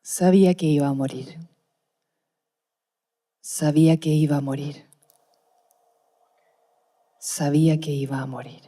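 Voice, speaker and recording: A frightened young wife in a radio play. She speaks low and mellow, measured and haunted, with defiance under the dread. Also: steady tone. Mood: fearful, haunted. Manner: measured, dramatic, haunted delivery. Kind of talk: Spanish-language radio play, diary monologue.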